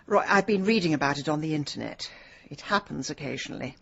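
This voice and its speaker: A woman playing a sharp, ambitious middle-aged English amateur sleuth in a radio drama, with a brisk, wry, dry delivery. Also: low voice, expressive, creaky, slightly rough, lo-fi sound, full character voice. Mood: defensive, matter-of-fact.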